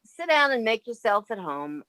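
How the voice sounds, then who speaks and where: A woman's voice: a little high, very animated, normal speed, pushed and bright, no room sound. A woman playing a sensible, wry roommate with a dry wit in an old-time radio comedy. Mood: wry, coaxing. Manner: wry, practical.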